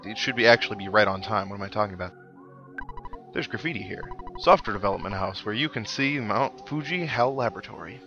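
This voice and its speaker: A man with a low, creaky voice commentates casually on a game, wandering from thought to thought with dry understatement. He sounds a bit unwell and out of it. The recording is slightly muffled, with clear game music playing underneath. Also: fast. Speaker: gaming host, let's-player. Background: video game music.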